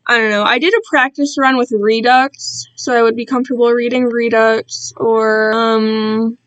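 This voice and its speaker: A woman's voice: on the high side, flat delivery, normal speed, clean tone, no echo, muffled recording. A woman chatting casually to viewers on a live stream, rambling and self-deprecating, easily drifting off track. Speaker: streamer reading own fan-written work to viewers.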